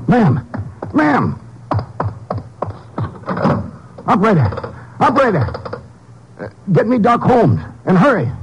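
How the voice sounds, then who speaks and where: An actor playing a kindly middle-aged small-town druggist in a 1950s radio drama, folksy, courteous and a little halting. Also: male voice, high-pitched, wildly swooping pitch, very noisy, exaggerated voice. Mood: alarmed, urgent. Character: kindly, helpful small-town Texas druggist, long in business. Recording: dull, lo-fi old-time radio recording.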